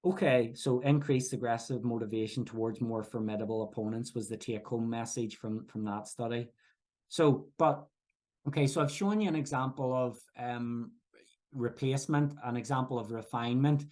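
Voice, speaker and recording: A man from Belfast with a Belfast accent, presenting research at a workshop in a halting, explanatory way with many restarts. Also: medium pitch, a little mellow. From Northern Ireland. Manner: hesitant, frequent fillers and restarts, explanatory. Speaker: researcher giving a workshop talk. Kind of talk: academic presentation.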